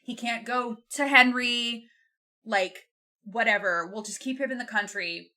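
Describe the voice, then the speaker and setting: A young woman co-hosting a podcast, retelling a book casually in an animated, informal, sweary way. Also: on the high side, measured pace. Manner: animated, informal and sweary, acts out quotes in passing. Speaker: podcast co-host.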